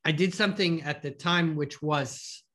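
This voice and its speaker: A man's voice: on the high side, moderate pace, bright, forceful tone. A man in his fifties from New York with a New York accent, a podcast guest telling his story in casual interview talk, with many fillers and restarts. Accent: American, New York. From New York, USA. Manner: hesitant, many fillers and repeated words.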